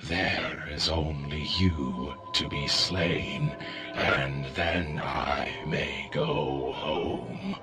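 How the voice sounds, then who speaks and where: A man voicing a cold, weary alien conqueror from deep space, speaking slowly and gravely. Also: steady tone, over loud music, full character voice. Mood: cold, solemn. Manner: slow, grave and solemn. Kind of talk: storybook reading.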